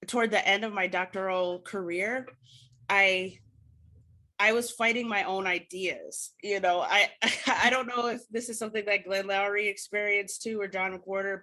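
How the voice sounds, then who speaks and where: A woman's voice: lowish voice, pushed and bright. An American woman speaking as a podcast guest, telling personal stories reflectively in an earnest, articulate way with proper diction. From United States. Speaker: podcast guest, literature scholar. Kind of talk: reflective personal storytelling in a conversational interview.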